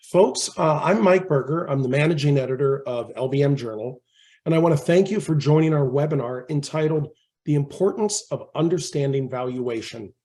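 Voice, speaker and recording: A man hosting a webinar, reading a prepared introduction in a steady way, his voice slightly hoarse from a head cold. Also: on the high side, moderate pace. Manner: reads prepared remarks steadily. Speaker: webinar host.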